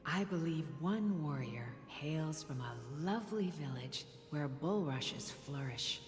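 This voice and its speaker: A woman voicing a gentle, reassuring mother and priestess in a video game, soft and breathy. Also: deep voice, full character voice. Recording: echoey long reverb under game music.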